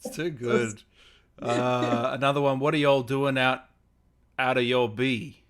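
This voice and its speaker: A man's voice: mid-range voice, steady tone, unhurried, fairly bright tone. A man chatting casually as he hosts an interview. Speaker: host interviewer.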